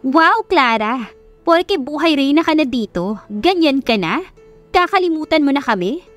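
A spiteful young sister, played by a woman narrator in an audio romance. Her voice is high and animated, full of taunting sarcasm. Music sits behind the words. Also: measured pace. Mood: sarcastic.

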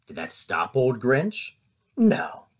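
Male read-aloud storyteller, middle-aged, highly varied pitch, unhurried, dramatic emphasis for young listeners. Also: wildly swooping pitch, husky. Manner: deliberate, dramatic emphasis with drawn-out repeated words. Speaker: elementary ESL teacher reading aloud. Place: indoors in a living room at home.

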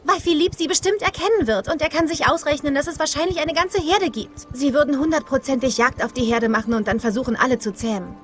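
Actress as an encouraging big sister, high young voice, cartoon dub, music behind. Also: female voice, fast, hardly any pauses, lightly acted. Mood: earnest. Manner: earnest, instructive. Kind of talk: German animated film dub.

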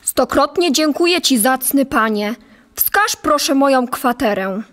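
A woman's voice: high voice, expressive, unhurried, fairly bright tone. A woman playing a young stable boy in an audio story, courteous and deferential. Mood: grateful, polite.

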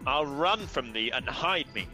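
A man's voice: mid-range voice, animated, unhurried, pushed and bright, soft background music, rough recording. A man playing an exasperated, disdainful young lover in a Shakespearean radio play, curt and irritated. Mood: disgusted, impatient. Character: exasperated, scornful young male lover fleeing an admirer.

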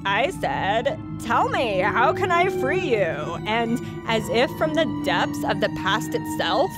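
A woman's voice: a little high, big pitch swings, slow pace, over loud music. A woman playing an earnest friend solemnly telling an absurd tale in a comedy sketch. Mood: earnest, ominous.

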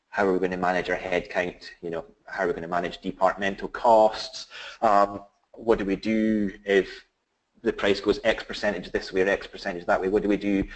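A middle-aged man with a Scottish accent presenting a business webinar, halting with many fillers and restarts. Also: on the low side, poor audio. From Scotland.

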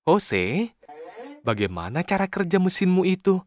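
A man's voice: high-pitched, big pitch swings, a little slow, a little mellow. A man voicing a sensible, friendly and cautious companion in a children's cartoon dub, speaking expressively.

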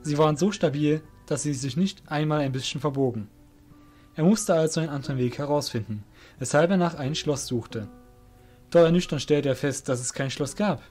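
A man's voice: on the high side, brisk, a little mellow, faint music behind. A man reading scripted narration for an audio drama as the narrator.

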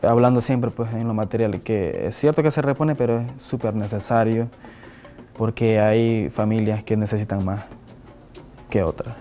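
A man's voice: lowish voice, steady tone, moderate pace, dark tone, soft background music. A man, a visual artist answering interview questions reflectively, with many restarts and tag questions, seated indoors on camera. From Nicaragua. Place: indoor office with flag and shelves. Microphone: fixed camera, seated medium shot.

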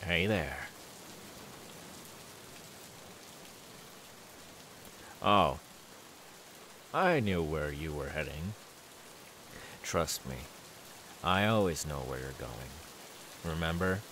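A man's voice: very deep voice, expressive, slow, pauses often, fairly bright tone, slight background noise, exaggerated voice. A man voicing a menacing, taunting killer simmering with anger in an ASMR audio roleplay, drawing out repeated words. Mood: quietly menacing.

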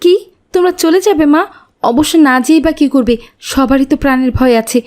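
A woman's voice: high voice, fairly quick, darkish tone, lightly acted. A woman voicing an anxious, kindly, poor village mother in a cartoon. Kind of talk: cartoon voice acting.

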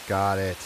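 A man's voice: on the low side, background noise. An actor playing a gangly, easily startled salesman in an audiobook drama, speaking in short exclamatory bursts. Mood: resigned.

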